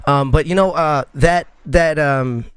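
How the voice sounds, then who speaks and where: A man's voice: a little high, a little slow, a bit bright, clean tone, no echo. A man in his thirties hosting a podcast interview, talking casually and rambling with many fillers and restarts. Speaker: podcast host.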